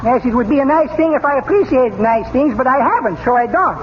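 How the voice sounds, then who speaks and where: High-voiced neighbor mangling English. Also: male voice, animated, fairly quick. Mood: confidently muddled. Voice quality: thick dialect with comically garbled words. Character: cheerful Greek immigrant neighbor man mangling English. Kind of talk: 1930s radio comedy acting. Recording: noisy, muffled 1930s radio recording.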